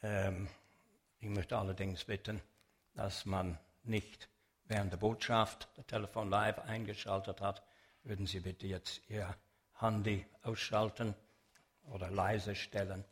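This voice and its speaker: Slow-talking man. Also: lowish voice, flat delivery. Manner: deliberate, explanatory, addresses audience directly. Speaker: speaker giving an illustrated religious talk. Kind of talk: public lecture with sermon message.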